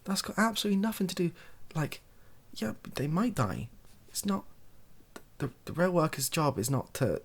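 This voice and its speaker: A British man speaking softly in a rambling, hesitant, improvised opinion monologue for an online video. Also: on the high side, big pitch swings, moderate pace, slightly rough. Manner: soft-spoken, hesitant and rambling.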